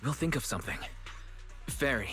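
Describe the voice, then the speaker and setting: An actor playing a steady, protective young male hero in a video game, speaking reassuringly. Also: medium pitch, normal speed, faint music behind. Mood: determined.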